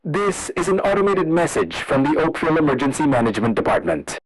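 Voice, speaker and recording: A man's voice: fairly high pitch, very animated, quick pace, no room sound, poor audio. A man delivering a scripted emergency broadcast as a formal alert announcer.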